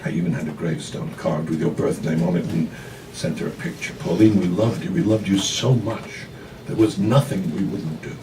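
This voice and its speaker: A man voicing a grieving, anxious mother in a live dramatic novella reading, in a hushed, halting way. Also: low voice, steady tone, pitched below the performer's own voice. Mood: anguished, pleading. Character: Bella, grieving, anxious mother confessing a painful secret. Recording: distant mic, noisy live room.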